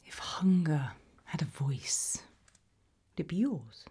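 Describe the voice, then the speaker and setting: A British woman whispering an ASMR roleplay as a creepy, probing examiner, asking questions slowly and deliberately with quiet playfulness. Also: sits low, very animated, slightly rough. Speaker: ASMR roleplayer playing an interviewer.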